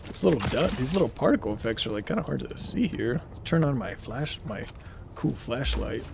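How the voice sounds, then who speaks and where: A man's voice: mid-range voice, lively intonation, fairly quick, creaky, noisy background. A man in his thirties streaming a game live, chatting casually in a rambling way and answering viewers' chat as he plays. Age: 30s.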